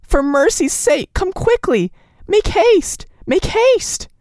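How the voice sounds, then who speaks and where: A woman's voice: sits high, animated, a little slow, mellow, no room sound, studio quality, voice pushed up. A woman reading aloud voices a young wife who sobs and begs a doctor to come. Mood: desperate pleading. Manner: sobbing, begging, insistent. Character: young wife, frantic and pleading.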